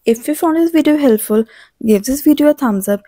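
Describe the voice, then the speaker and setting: A woman reads a scripted explainer as a channel narrator, in a measured way that sets out lists item by item. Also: sits high, lively intonation, warm tone, no room sound, studio quality.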